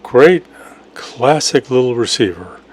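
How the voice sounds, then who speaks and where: A man's voice: medium pitch, expressive, takes it slowly, breathy edge. A man giving a casual, enthusiastic product demonstration in short plain phrases, as an amateur radio operator. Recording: noisy, radio static and hum.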